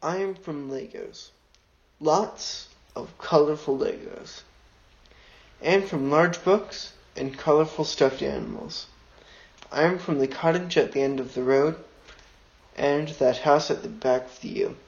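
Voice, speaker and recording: A man reading a personal poem aloud in a slow, careful recitation, on a dull lo-fi recording. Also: on the high side, gravelly.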